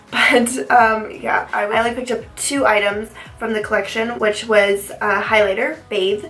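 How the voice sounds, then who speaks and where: A young woman chatting through a makeup tutorial with a head cold, sniffly and a little raspy, rambling and repeating herself. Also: mid-range voice, fairly bright tone, poor audio. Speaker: beauty vlogger. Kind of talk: casual makeup tutorial chat.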